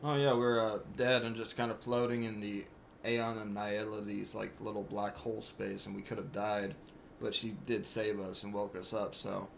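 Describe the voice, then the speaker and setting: A man's voice: on the low side, flat delivery, moderate pace, creaky, slight background noise. A man doing casual live gameplay commentary as a streamer, with informal, slangy reactions. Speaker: game streamer. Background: game music.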